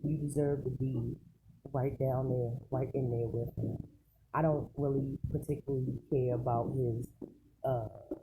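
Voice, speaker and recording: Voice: medium pitch, fairly even intonation, a little slow. A commentator giving casual, rambling opinions in an emphatic, colloquial way, often throwing in rhetorical questions. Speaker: online celebrity news commentator. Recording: clearly noisy recording with clicks.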